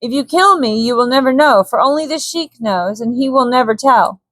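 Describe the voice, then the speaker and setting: Woman voicing a high-pitched, pleading cornered villain. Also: lively intonation, moderate pace, a bit bright, no echo, low-quality recording. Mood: bargaining. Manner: pleading, self-excusing. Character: frightened cornered Swedish villain, pleading and scheming. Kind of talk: dramatic storybook character voice.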